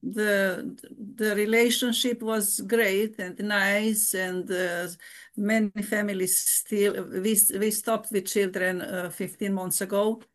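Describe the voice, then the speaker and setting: Woman, older, nun, halting, many restarts, frequent laughs. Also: medium pitch, little pitch movement. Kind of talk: casual interview.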